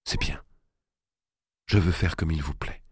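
A man reading an audiobook, voicing a pious, gentle young queen who speaks softly and with quiet firmness. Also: rumbling low, measured pace, breathy edge, no room sound, clean studio sound, full character voice. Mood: resigned. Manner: soft, hushed, restrained.

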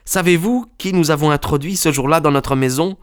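A man voicing a distraught young gentleman in an audiobook story, agitated and emphatic. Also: fairly high pitch, fairly quick, smooth, voice pushed up, natural voice. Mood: agitated, bitter.

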